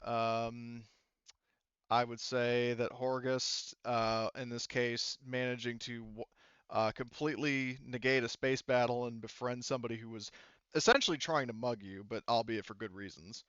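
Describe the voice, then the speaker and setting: A man running a tabletop game, explaining its rules in an informal, halting, instructional way. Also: medium pitch, fairly even intonation, fairly bright tone, smooth, no room sound, highs cut off. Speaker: game master.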